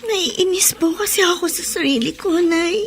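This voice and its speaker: Very high-voiced tearful young daughter. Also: female voice, moderate pace, a little hiss or hum. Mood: tearful regret. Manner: tearful, respectful, pleading. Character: remorseful tearful young daughter, new mother. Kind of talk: radio drama acting. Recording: music under the voice.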